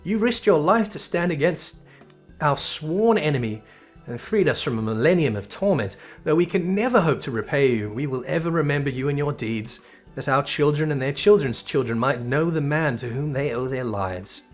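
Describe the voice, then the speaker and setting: A man's voice: a little high, expressive, moderate pace, faint music behind. A man voicing a courtly noble from a fantasy game, speaking formally with earnest, solemn gratitude. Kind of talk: character voice reading video game dialogue.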